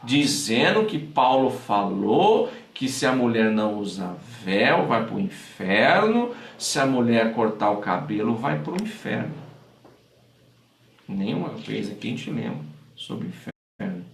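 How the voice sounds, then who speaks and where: Gravelly Brazilian pastor preaching from afar. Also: male voice, mid-range voice, very animated, unhurried. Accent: Brazilian Portuguese. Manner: conversational preaching, repeats phrases for emphasis. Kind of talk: livestream sermon. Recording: echoey room, distant mic, faint noise.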